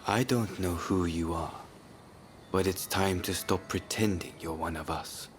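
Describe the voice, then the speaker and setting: Deep, stern swordsman, noisy audio. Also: fairly even intonation. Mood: cold, wry. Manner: measured, stern, formal. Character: grim, calculating male Shinsengumi swordsman, coolly confident. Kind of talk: dramatic video game cutscene acting.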